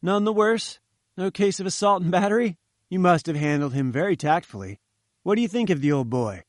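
Man doing an eager, high-voiced young reporter. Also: expressive, fairly quick, dry recording, studio quality.

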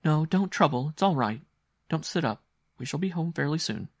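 Man voicing an anxious mother, raised pitch. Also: lively intonation, moderate pace, no room sound, clean studio sound. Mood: reluctant. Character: anxious, reluctant upper-class mother. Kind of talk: dramatic audiobook story reading.

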